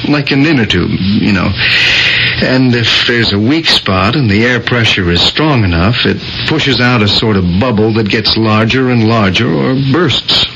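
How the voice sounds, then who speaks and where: An actor playing an anguished, self-doubting young surgeon in a grave, earnest 1970s American radio drama style. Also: male voice, on the low side, expressive, a little slow, creaky, bright, forceful tone, a little hiss or hum, full character voice. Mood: grave, explaining. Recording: dull lo-fi old radio broadcast. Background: dramatic music.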